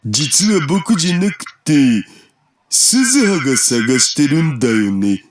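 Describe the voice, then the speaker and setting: A man's voice: fairly high pitch, animated, normal speed, close to an everyday voice. A male actor voicing an evasive, easygoing young friend in a Japanese visual novel, in a hesitant, guarded drawl. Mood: earnest.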